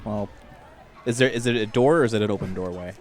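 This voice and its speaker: A man playing a tabletop game, describing his actions in informal, halting, improvised chat. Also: fairly low pitch, a little mellow.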